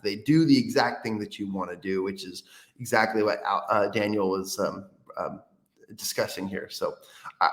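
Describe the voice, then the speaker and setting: A man hosting an interview, talking in rambling, halting sentences with many restarts. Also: fairly low pitch, a bit bright, reverberant. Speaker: podcast host. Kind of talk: casual interview.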